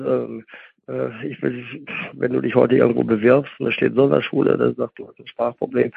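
A man with a husky voice, hosting a conversational interview as a therapist and explaining emphatically through questions he answers himself. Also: mid-range voice, dry recording. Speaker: host and stuttering therapist. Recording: phone-like, band-limited sound.

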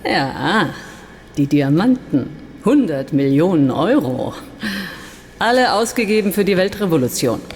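Rebel commander, low pitch, cool mockery, self-assured, declamatory, radio drama, echoing hall. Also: female voice, sing-song, unhurried, darkish tone. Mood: proud, determined. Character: commanding, coolly sardonic female urban guerrilla leader. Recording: long reverb of a large hall.

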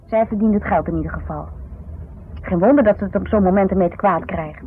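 A woman's voice: medium pitch, lightly acted. An actress playing an irritable, defensive married woman, bickering in the style of 1970s radio drama. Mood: defensive, indignant. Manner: bickering, exasperated, quick to retort. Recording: noisy narrow-band 1970s radio drama recording.

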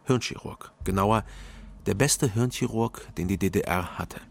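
A man's voice: on the low side, measured pace, vocal fry. An actor playing a detective in his thirties, narrating a radio crime drama in a dry, reflective way. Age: 30s. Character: thirty-year-old sober, lonely private detective narrating. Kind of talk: scripted first-person radio drama narration. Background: music.

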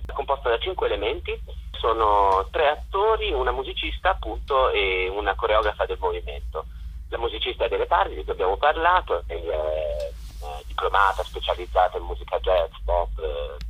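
A man's voice: low voice, very animated, moderate pace, a bit bright, breathy edge, low-quality recording. A man answering interview questions on the radio as a theatre director and actor, heard as if over a telephone.

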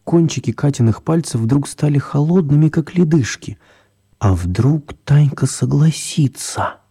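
A man narrating a children's radio story in a measured, expressive storytelling manner. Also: fairly high pitch, husky, full character voice.

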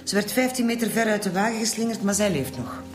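An actress with a Flemish accent playing a dry, self-assured forensic pathologist in a radio drama, speaking in a matter-of-fact way. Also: female voice, mid-range voice, expressive, fast talker, soft background music, natural voice. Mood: dryly matter-of-fact.

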